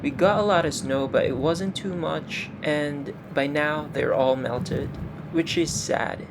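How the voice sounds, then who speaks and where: A man's voice: on the high side, slow pace, creaky, background noise. A young man from the Philippines with a Filipino accent, talking casually to the camera as a vlogger. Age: young adult. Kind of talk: casual vlog talk to camera.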